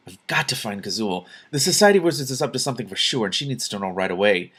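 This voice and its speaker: A man's voice: fairly low pitch, expressive, fast, fairly bright tone, husky. A man voicing a practical, matter-of-fact princess with a dry, wry manner in a storybook reading. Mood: earnest.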